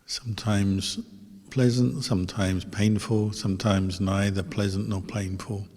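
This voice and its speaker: A man who is a meditation teacher leads a guided meditation in gentle, unhurried instructions with pauses. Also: lowish voice, little pitch movement. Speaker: Buddhist monk, meditation teacher. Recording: long hall reverb.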